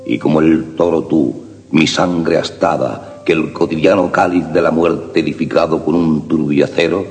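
A man with an extremely low, gravelly voice recites love sonnets slowly and solemnly. His words ring out with a long reverb tail. Soft harp music plays behind him. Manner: slow, solemn, measured delivery. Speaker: poetry reciter.

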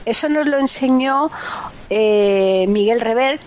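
A woman's voice: fairly high pitch, slow pace, darkish tone, a little hiss or hum. A woman hosting a casual radio chat, teasing her co-hosts and laughing often. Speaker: radio host. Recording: slightly dull radio audio.

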